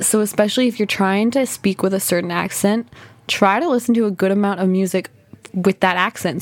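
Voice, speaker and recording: A young woman talks straight to her listeners as a podcast host, chatty and relaxed. Her pitch sits in an ordinary middle range, and her advice comes out in an easygoing, conversational flow. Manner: casual, chatty advice-giving with fillers. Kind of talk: solo podcast talk.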